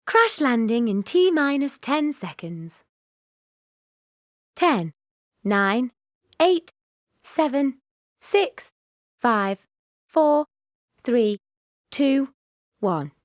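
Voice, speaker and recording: A woman acting a slow, high-pitched spaceship computer. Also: big pitch swings, no echo, clean studio sound, full character voice. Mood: measured warning. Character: female spaceship computer announcing a countdown, calm and clipped. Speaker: voice-over performer. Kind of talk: scripted sci-fi announcement.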